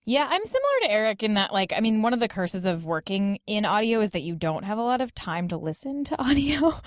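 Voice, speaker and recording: A woman's voice: mid-range voice, very animated, brisk, dry recording. Casual, chatty woman, dry close sound. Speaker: podcast host as guest. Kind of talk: casual panel chat.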